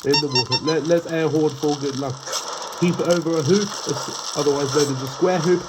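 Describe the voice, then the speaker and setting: A man with a stuffy nose hosting his gaming channel, rambling through gameplay commentary with lots of fillers, restarts and sudden excited outbursts. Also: on the high side, normal speed, music in the background.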